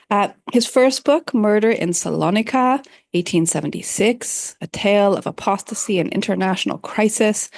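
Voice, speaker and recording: A woman chairing an academic panel, introducing speakers in a measured way with frequent fillers. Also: medium pitch, creaky, a little mellow, no room sound, clean studio sound. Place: conference room.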